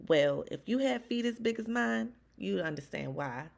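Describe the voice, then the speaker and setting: A woman reading a children's storybook aloud as first-person narration, expressively, with dramatic pauses and emphasis. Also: mid-range voice, animated, vocal fry. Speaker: children's read-aloud host.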